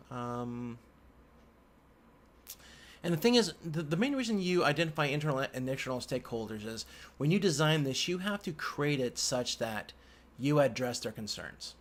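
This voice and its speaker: A man giving an informal tutorial as an instructor, thinking aloud in halting phrases with frequent restarts. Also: mid-range voice. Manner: halting, hesitant phrasing with repeated word restarts. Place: indoors at a computer. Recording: clear background noise, keyboard typing.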